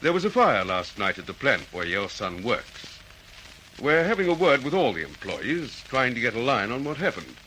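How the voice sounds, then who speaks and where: An actor playing a methodical, courteous police detective in an Australian accent, in classic radio drama style. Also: male voice, mid-range voice, sing-song, moderate pace, sharp tone, slight background noise, low-quality recording. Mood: polite, reassuring.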